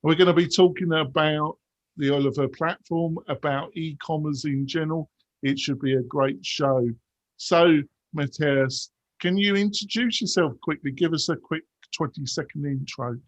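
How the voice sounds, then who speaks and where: A man's voice: fairly high pitch, moderate pace, no room sound, rough recording. Upbeat male podcast host, dry sound. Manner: upbeat, announcer-like. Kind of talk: podcast hosting and sponsor read.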